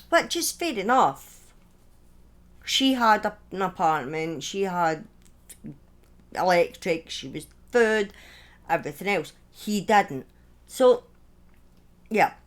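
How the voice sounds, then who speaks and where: A woman narrates true crime as if chatting to followers. Her intonation is very lively, moving widely up and down. She breaks her phrases up and steps out of the script for informal, opinionated asides. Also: lowish voice. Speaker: true-crime storyteller, streamer. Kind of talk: conversational true-crime storytelling, part scripted.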